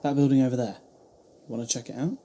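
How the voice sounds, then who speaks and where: An actor playing a casual, curious time-travelling alien adventurer in a sci-fi audio drama. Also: male voice, mid-range voice, steady tone, fast, dark tone, a little hiss or hum, lightly acted. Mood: curious, breezy.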